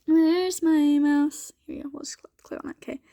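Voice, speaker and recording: A woman's voice: high-pitched, fairly even intonation, moderate pace, dark tone, no room sound, studio quality. A young woman with a sniffly cold, chatting informally and thinking aloud as she presents a student vlog. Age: young adult. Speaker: student vlogger. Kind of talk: casual chatty vlog walkthrough.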